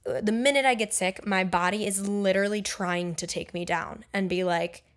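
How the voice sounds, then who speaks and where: A woman's voice: medium pitch, fairly even intonation, normal speed. A young woman hosting a casual solo podcast, chatty and candid, her talk full of "like" and "um". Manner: chatty, filler-heavy with frequent "like" and "um"; candid and personal. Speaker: podcast host and songwriter.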